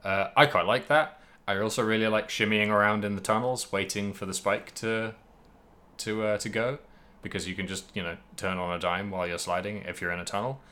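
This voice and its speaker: A man's voice: fairly low pitch, steady tone, fairly quick, sharp tone. A man hosting a podcast, casually discussing video games in a rambling way, hedging and thinking aloud as he goes. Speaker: podcast host.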